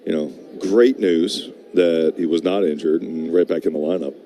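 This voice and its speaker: A man with a low, warm voice analyzes a live baseball game as color commentator. He talks conversationally, with some ballpark noise behind him. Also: steady tone, normal speed. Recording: live broadcast with clear background noise.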